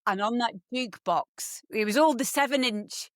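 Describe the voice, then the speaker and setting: A woman's voice: a little high, normal speed, no room sound, clean studio sound. A woman, chatting as a podcast guest, rambling with lots of ums and restarts. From England. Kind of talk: casual chat.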